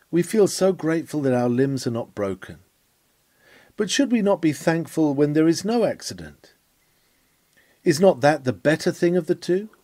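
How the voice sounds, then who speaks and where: Brief: man, dark and mellow tone, dry close recording, reading a sermon with dramatic swells and hushes. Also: a little high, no echo. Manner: rises and falls for emphasis, solemn pauses. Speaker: reader of a historic sermon.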